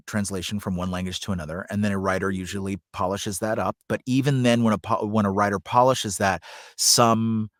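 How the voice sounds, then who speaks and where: A man's voice: on the low side, dry recording, clean studio sound. A man talking as a voice actor and coach in an interview, telling stories conversationally and animatedly. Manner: animated, acts out examples as he explains.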